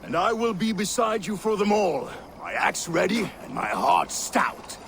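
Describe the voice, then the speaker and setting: An actor playing a loyal, earnest Norse warrior with a creaky voice in a video game. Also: male voice, high-pitched, very animated, unhurried, background noise, full character voice. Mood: devoted. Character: joyous, loyal male Norse warrior of gentle faith.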